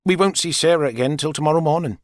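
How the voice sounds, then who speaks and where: A man voicing a brisk, hospitable, no-nonsense mother who runs a guesthouse, in an audiobook. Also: on the high side, dry recording, studio quality, pitched above the performer's own voice, close to an everyday voice.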